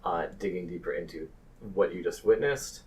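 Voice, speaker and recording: A man running a tabletop roleplaying game as game master, improvising narration in a deliberate, halting way. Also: deep voice, lively intonation, recorded from a distance.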